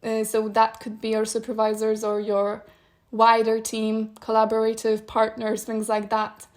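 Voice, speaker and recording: A young woman whose first language is Polish, hosting a solo podcast and reflecting aloud in a thoughtful, meandering way with soft laughs. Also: a little high, completely flat delivery, a little slow. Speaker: podcast host.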